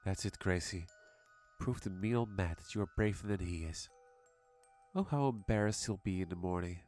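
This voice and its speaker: A man's voice: low voice, moderate pace, darkish tone, faint music behind, voice pushed up, lightly acted. A man voicing a sinister female demon hag who coaxes a child with sweet menace in a horror story. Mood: sinister coaxing.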